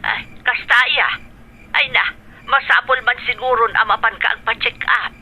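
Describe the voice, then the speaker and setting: A caring elder sister from an Ilocano radio drama. Her voice is high and piercingly bright, and she fusses with concern over the person she talks to. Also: fairly even intonation, measured pace, muffled recording, full character voice. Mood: concerned, fussing.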